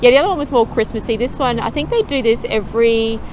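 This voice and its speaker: A woman's voice: fairly high pitch, fast talker, heavy background noise. A woman in her thirties hosting a casual travel vlog, chatty and upbeat, talking to camera on a lapel mic at outdoor Christmas markets. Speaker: travel vlogger host. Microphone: small lapel mic. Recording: outdoor market with loud music and crowd. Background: loud music and crowd chatter.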